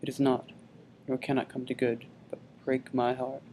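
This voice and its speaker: A man acting a grieving, bitter young prince in a theatrical Shakespearean monologue, with halting, emphatic delivery and dramatic pauses. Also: medium pitch, fairly even intonation, warm tone, slight background noise, lightly acted. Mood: bitter resignation. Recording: echoey room, distant microphone.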